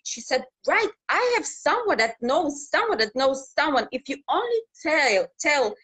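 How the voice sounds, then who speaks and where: A woman in her thirties, a news reporter from Russia, speaking English as a second language with a Russian accent, talking in a halting way with many fillers and restarts over a video call. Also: medium pitch, low-quality recording. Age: 30s. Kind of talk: casual interview conversation.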